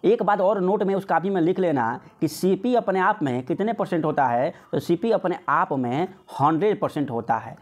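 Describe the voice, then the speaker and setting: A man's voice: high voice, expressive, normal speed, few pauses. A man teaching an informal exam-prep maths lesson step by step, often repeating himself and answering his own questions. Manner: repetitive, asks questions and answers them himself.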